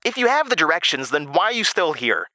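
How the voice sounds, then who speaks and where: A man's voice: fairly high pitch, sing-song, brisk, pushed and bright, no room sound, pitched above the performer's own voice, exaggerated voice. A man voicing an eager, defiant, nerdy thirteen-year-old boy with indignant retorts in a horror audio drama.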